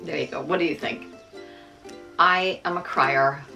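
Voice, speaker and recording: Middle-aged woman, American accent with some Scottish, slow unhurried talk, soft ukulele music behind. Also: low-pitched, vocal fry. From United States. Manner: chatty, self-deprecating, many ums. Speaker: vlogger. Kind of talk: casual talk to camera.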